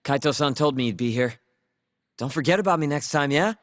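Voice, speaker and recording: A man's voice: a little high, a little fast, fairly bright tone, no room sound, studio quality, close to an everyday voice. An actor playing a cocky young man who talks in a casual, teasing way. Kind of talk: video game cutscene voice acting.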